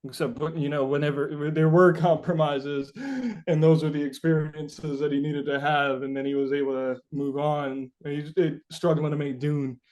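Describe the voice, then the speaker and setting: A man being interviewed as a musician, answering casually and haltingly, thinking aloud and often saying "like". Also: on the high side, fairly even intonation, a little mellow.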